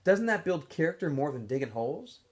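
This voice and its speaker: An earnest teenage boy from a storybook, read by a man, quietly defiant. His pitch is raised and moves about freely. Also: big pitch swings, a little fast. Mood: earnest defiance. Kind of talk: storybook character voice.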